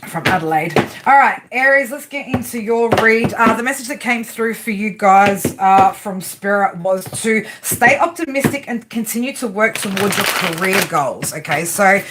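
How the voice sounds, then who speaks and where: A woman's voice: mid-range voice, lively intonation, moderate pace, few pauses, noisy background. Chatty Australian woman reading tarot. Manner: chatty, playful, slangy, reads chat comments aloud. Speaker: tarot reader. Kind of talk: casual live-stream chat and reading.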